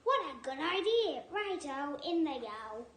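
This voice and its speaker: A woman voicing a clever, greedy male dingo from a storybook, gleeful and drawn-out, in an echoey room. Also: high-pitched, very animated, fairly bright tone, full character voice. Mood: pleased. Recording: echoey room with faint noise.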